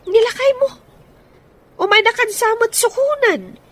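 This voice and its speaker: A woman's voice: extremely high pitch, a little slow, a bit bright. A woman playing a chatty, pushy female friend in an Ilocano radio drama. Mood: urging.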